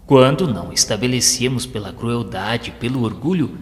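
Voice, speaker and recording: A man reading a solemn audio novel in a measured, formal, sermon-like way. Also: mid-range voice, animated. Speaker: audiobook narrator. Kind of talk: solemn scripted narration of a spiritist audio novel. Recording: long reverb with music underneath. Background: music.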